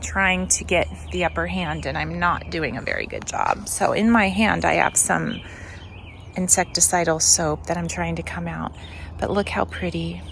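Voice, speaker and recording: Creaky-voiced woman giving a garden tour. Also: fairly low pitch, big pitch swings, normal speed, fairly bright tone, a little hiss or hum. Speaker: gardening vlog host. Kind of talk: casual garden tour chat.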